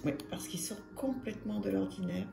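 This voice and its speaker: A woman's voice: medium pitch, big pitch swings, normal speed, creaky. A woman hosting her tarot channel, showing a deck in a casual, chatty way with many fillers and repeated words. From France. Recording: echoey room with music behind. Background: soft music.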